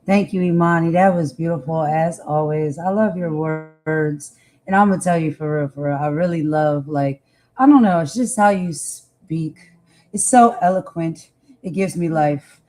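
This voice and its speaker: A woman host with a slightly raspy voice, chatting casually and loosely with lots of fillers and slang. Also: deep voice, moderate pace, a little mellow. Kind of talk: casual chat.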